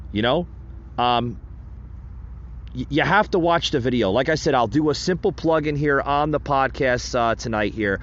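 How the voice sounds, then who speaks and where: A man hosting a podcast in a casual monologue, often repeating himself and addressing his listeners directly. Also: medium pitch. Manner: repetitive, addresses listeners as guys. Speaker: podcast host. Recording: dull, lo-fi sound.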